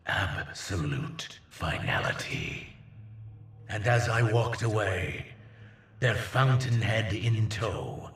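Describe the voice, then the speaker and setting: A man playing a proud, menacing alien conqueror in a video game, speaking in a grandiose, theatrical, deliberate way with hushed emphasis. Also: lowish voice, big pitch swings, roomy sound, far from the mic, exaggerated voice. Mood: gloating menace. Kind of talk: dramatic video game villain monologue.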